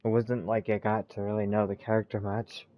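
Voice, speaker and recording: A man with a fairly low voice gives casual commentary on a game. He thinks aloud in halting, unplanned phrases. Clear background noise and clicks come through on the recording. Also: little pitch movement, muffled recording. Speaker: gaming video creator.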